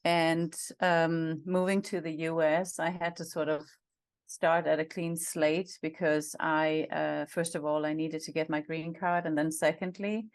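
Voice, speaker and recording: A woman in her fifties with a low voice talks in a measured, thoughtful way, pausing often. She speaks into a headset on a video call from a small home office. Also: level tone. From Denmark. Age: 50s. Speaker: guest, intimacy and relationship coach. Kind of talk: casual video call interview.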